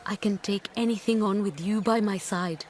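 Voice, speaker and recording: An actress plays a world-weary, self-sacrificing woman in a radio play. Her mid-pitched voice pleads earnestly in measured, formal phrases, steadfast beneath the loneliness. Slight noise sits behind her. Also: a little mellow, lightly acted. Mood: tender, pleading. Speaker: radio drama actor. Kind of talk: radio drama acting.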